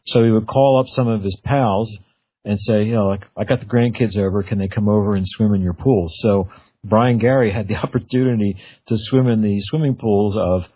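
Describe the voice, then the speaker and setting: A man's voice: lowish voice, normal speed, husky. A man hosting a casual radio interview in an informal, conversational manner. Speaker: radio host. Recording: narrowband phone-like radio sound.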